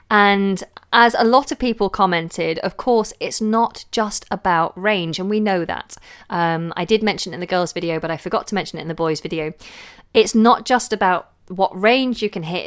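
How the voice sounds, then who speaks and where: Chatty woman singing teacher, recovering from cold. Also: clean tone. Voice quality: low voice. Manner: chatty, loses words, many fillers. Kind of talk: live vocal feedback session.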